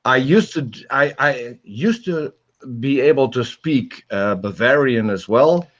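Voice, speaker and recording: Slow-talking man. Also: mid-range voice, animated. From Netherlands. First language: Dutch. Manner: hesitant, many uhs and repeated starts. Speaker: guest in a live chat. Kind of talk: casual live-stream chat. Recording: dull lo-fi stream audio.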